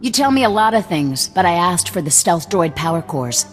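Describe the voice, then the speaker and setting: An actress playing a hard-nosed, distrustful resistance leader who gives clipped, commanding orders. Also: female voice, on the low side, sing-song, normal speed, soft background music. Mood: stern. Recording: echoey game cutscene with loud score.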